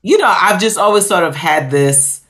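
A woman's voice: on the low side, expressive, fairly bright tone. A woman in her late forties, originally from Guyana, a chef telling her story as a guest on a video call from home. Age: 40s. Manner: candid, storytelling, drawn-out emphasis. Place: home room.

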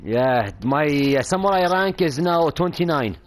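Halting young man on gaming voice chat. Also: fairly high pitch, animated. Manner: halting, many fillers, mixes in Japanese phrases. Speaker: gaming friend. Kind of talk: casual voice chat while gaming.

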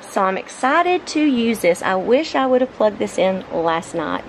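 A middle-aged woman, casually narrating a home vlog in an informal, halting, think-aloud way. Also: mid-range voice, big pitch swings, slight background noise, rough recording. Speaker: home vlogger. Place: living room of a home, indoors. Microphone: camera mic on a tripod nearby.

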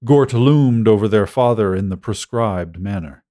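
A man's voice: lowish voice, measured pace, mellow. A man reading a scripted short story as an audiobook narrator.

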